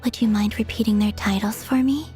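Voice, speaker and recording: A woman voicing a serene, mysterious young moon maiden in a video game, soft and at times breathy. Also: mid-range voice, steady tone, fairly quick, faint music behind, lightly acted.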